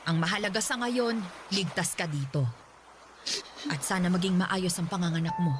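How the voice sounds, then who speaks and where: A woman's voice: lowish voice, moderate pace, natural voice. An actress playing a fiercely protective, hot-tempered mother and grandmother in a Filipino radio drama. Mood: tender, reassuring. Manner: scolding and emphatic when roused, tender with her child. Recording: noisy background ambience.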